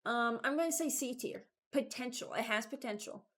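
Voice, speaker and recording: A woman's voice: fairly high pitch, normal speed, a bit bright. A woman giving casual reaction commentary as a video host, rambling and thinking aloud as she ranks game voice lines.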